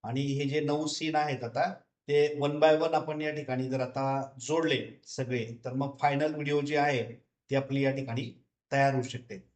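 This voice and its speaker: A man giving an unscripted, step-by-step software tutorial in a repetitive, instructional way. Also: medium pitch, steady tone, measured pace. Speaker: tutorial presenter.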